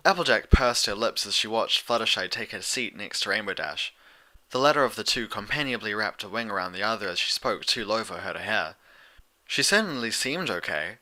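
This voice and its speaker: A man's voice: on the low side, expressive, fairly quick, sharp tone. A man reading a story aloud as a scripted narrator. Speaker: fan fiction reader.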